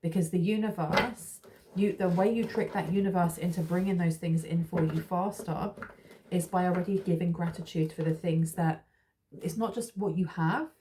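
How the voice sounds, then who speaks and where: A woman's voice: on the low side, fairly even intonation, normal speed, dark tone, a little hiss or hum, rough recording. A woman giving a casual, rambling tarot reading, reassuring her listeners with many fillers and restarts. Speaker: tarot reader.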